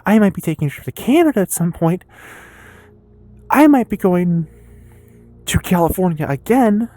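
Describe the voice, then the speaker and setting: A woman in her early twenties with an American accent talks candidly and casually in a confessional personal vlog, rambling haltingly with pauses and some swearing. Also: fairly low pitch, expressive, dark tone, no echo, soft background music. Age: early 20s. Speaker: vlogger and streamer.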